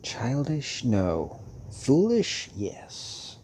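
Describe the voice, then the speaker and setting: A man playing a calm, fatherly old vampire mentor in an intimate audio roleplay, musing hesitantly with many pauses. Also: mid-range voice, slight background noise, exaggerated voice, low-quality recording. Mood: pensive, grave.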